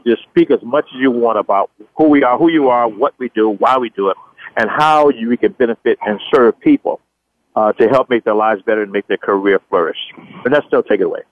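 A man's voice: medium pitch, a little fast, no echo. A man hosting a talk radio show, introducing a guest with enthusiastic, repetitive phrasing. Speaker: radio host. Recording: dull dry studio audio.

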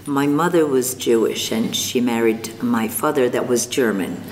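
An older woman from North Africa, speaking as an interview guest, slow and thoughtful with frequent pauses. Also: a bass voice, darkish tone. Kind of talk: reflective interview conversation. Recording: long reverb, faint background noise.

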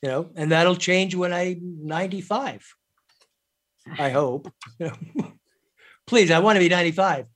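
A man's voice: high voice, measured pace, pauses often, fairly bright tone. A man hosting an interview, chatty and agreeable, filling his talk with "you know". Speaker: interview host. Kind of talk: conversational interview.

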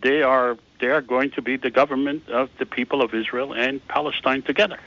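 Male radio guest, middle pitch, patient and halting with many fillers, muffled lo-fi sound. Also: steady tone, a bit bright, dry recording. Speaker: guest, founder of a peace initiative. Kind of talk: radio interview. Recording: slightly dull lo-fi radio sound.

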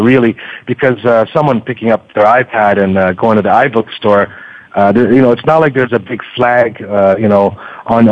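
A man with a mid-pitched voice speaking as an interview guest. He explains things in a casual, halting way, full of uhs and repeated words. The audio is dry and a little dull. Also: no room sound. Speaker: guest, book podcaster. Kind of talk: conversational explaining and advice.

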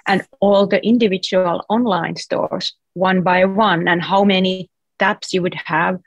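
A woman's voice: lowish voice, fairly even intonation, unhurried, no echo, muffled recording. Finnish-accented woman explaining her app. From Finland. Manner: explanatory, friendly, uses "like" as filler. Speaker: guest, app founder. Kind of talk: casual interview chat.

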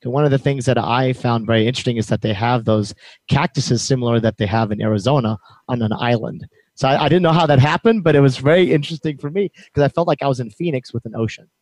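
A man's voice: medium pitch, quick pace, darkish tone, no echo. Eager male interview host with a dry, close-miked sound. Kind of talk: interview chat.

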